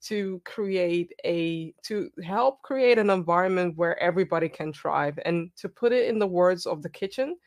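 A woman's voice: lowish voice, moderate pace, hardly any pauses, darkish tone. A woman moderating a panel discussion, enthusiastic and affirming as she introduces questions.

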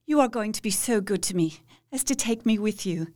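A woman playing Mina, a devoted young wife, speaking with slow, solemn, pleading resolve in a radio play. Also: mid-range voice, expressive, darkish tone, natural voice.

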